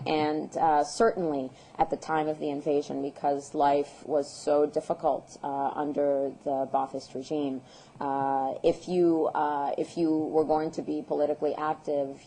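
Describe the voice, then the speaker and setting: A woman in her thirties from the United States, answering interview questions in an earnest, measured way with frequent ums and uhs. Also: deep voice, mellow, slight background noise, low-quality recording. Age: 30s. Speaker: guest, peace activist.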